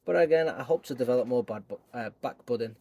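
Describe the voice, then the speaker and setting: A man with a croaky voice talking casually through his bonsai work as host of his channel, thinking aloud as he goes, outdoors. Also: medium pitch, fast, darkish tone, slight background noise. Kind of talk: casual hands-on tutorial talk.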